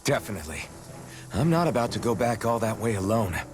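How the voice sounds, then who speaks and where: A man's voice: lowish voice, very animated, fairly quick, background noise. An actor playing a laid-back young man, numb to pain, in a video game. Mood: offhand. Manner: easygoing, offhand. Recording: game audio with faint music.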